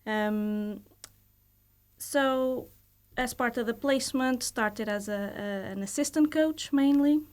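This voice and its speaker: A woman in her thirties from Portugal with a Portuguese accent, a university lecturer speaking as a guest in a casual podcast interview. She talks in a thoughtful, measured way, often hedging with fillers. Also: a little high, darkish tone. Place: podcast studio.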